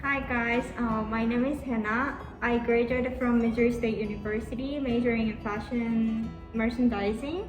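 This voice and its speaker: Young Korean-born woman, hesitant, bright pitch. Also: fairly even intonation, moderate pace, hardly any pauses, roomy sound, music in the background, rough recording. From South Korea. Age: 20s. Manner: hesitant, many "um"s. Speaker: guest. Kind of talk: casual Q&A answers.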